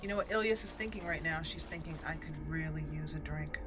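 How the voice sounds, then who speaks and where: A woman's voice: low voice, fast, background noise. A woman hosting a let's-play, chatting casually through her gameplay with giggles and self-mocking asides. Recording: game music behind the voice.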